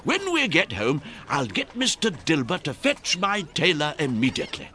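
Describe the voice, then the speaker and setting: An actor playing a genial, cultured English gentleman in a radio drama, jovial and civilized in manner. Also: a little high, huge pitch swings, moderate pace, a little hiss or hum, exaggerated voice.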